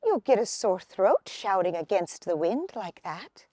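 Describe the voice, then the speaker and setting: A woman's voice: medium pitch, sing-song, a little slow, dark tone, no room sound, studio quality. Woman as a polite, neighbourly hazelnut mother. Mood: friendly.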